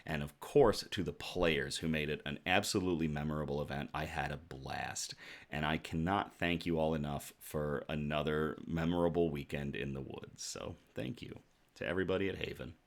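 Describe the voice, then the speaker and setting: A man hosting a casual livestream, chatting to viewers in a jovial, effusive way, his voice slightly rough from shouting. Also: rumbling low, moderate pace. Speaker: host of a casual news and beer livestream.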